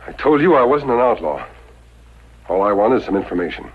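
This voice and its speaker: An actor playing a calm, resolute masked Western hero in 1950s radio style, speaking with measured authority. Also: male voice, on the low side, gravelly, husky, exaggerated voice. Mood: calm, firm. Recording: muffled, noisy old radio recording.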